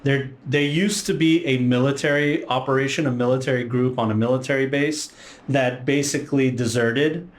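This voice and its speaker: A man's voice: mid-range voice, steady tone, moderate pace. A man speaking casually as the game master of a tabletop role-playing game. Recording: echoey room.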